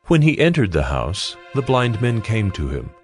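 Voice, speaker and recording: A man's voice: fairly low pitch, wildly swooping pitch, moderate pace. A man narrating a dramatized audio Bible in a solemn storytelling manner. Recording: music behind the voice. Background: background music.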